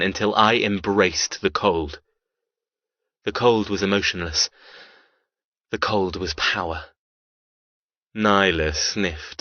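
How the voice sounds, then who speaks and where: A man performing a cold, cruel young man in brooding, deliberate first-person audiobook narration. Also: on the low side, pushed and bright, no echo, dull audio.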